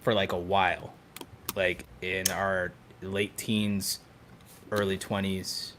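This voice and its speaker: Low-pitched man chatting emphatically. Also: little pitch movement, slow pace, fairly bright tone, slight background noise. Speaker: podcast co-host. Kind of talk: casual chat.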